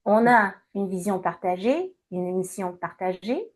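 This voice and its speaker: A woman in her fifties from Montreal with a Québécois accent, answering interview questions in a measured way with many hesitations. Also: medium pitch, animated. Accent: Québécois French. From Montreal, Canada. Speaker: guest, entrepreneur and coach.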